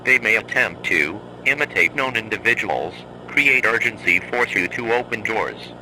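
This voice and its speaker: A man playing a stern, composed emergency announcer in a horror drama, giving measured, clipped instructions as a scripted broadcast. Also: on the low side, animated, sharp tone, a little hiss or hum, rough recording. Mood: grave, measured.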